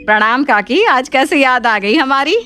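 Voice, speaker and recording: A woman's voice: high-pitched, expressive, moderate pace, bright, forceful tone, soft background music, voice pushed up. A woman voicing a polite, reasonable middle-aged mother arranging a match in a cartoon family story. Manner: courteous, reasonable.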